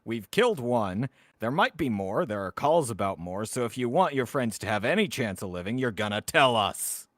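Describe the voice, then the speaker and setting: A man's voice: mid-range voice, big pitch swings, moderate pace, no echo, clean studio sound. A man playing a tabletop roleplaying game, talking casually in and out of character.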